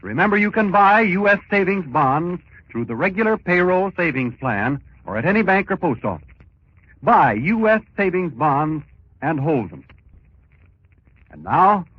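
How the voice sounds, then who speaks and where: An American man in the role of a 1940s radio announcer, high and very animated. He reads formally and emphatically. The vintage recording sounds muffled. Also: unhurried, slightly rough, dry recording.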